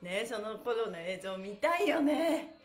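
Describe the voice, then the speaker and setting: In a roomy, echoing space, a middle-aged woman coaches a livestreamed workout. Her voice is very high and swings widely in pitch. She sounds exuberant, giggly and encouraging. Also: big pitch swings, slow pace, faint music behind. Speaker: stretching instructor and pantomime performer. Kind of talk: livestreamed exercise instruction, cheerful chanting and chat. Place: small bare indoor studio room. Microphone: camera or phone a short distance away. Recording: roomy indoor echo.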